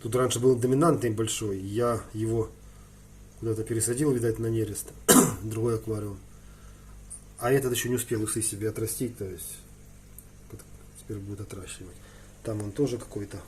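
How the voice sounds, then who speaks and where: A man's voice: on the low side, fairly even intonation, a little fast, long pauses. Casual man rambling over noticeable background noise. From Ukraine. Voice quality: frequent throat-clearing between phrases. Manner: colloquial, slangy, thinking aloud. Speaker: hobby aquarium vlogger. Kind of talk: casual rambling vlog chat. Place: indoors in a room with aquariums.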